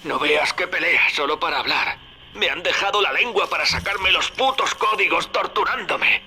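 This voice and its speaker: A man's voice: fairly high pitch, normal speed, bright, forceful tone, slight background noise. An actor playing a tormented, apologetic man enslaved by mind control in a video game, his voice strained. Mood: anguished. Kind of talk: dramatic video game acting.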